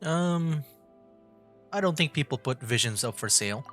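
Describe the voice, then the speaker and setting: A man's voice: fairly high pitch, expressive, normal speed, fairly bright tone, faint music behind. A man streaming a game, commenting casually and thinking aloud with a few chuckles. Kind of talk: casual live reaction commentary.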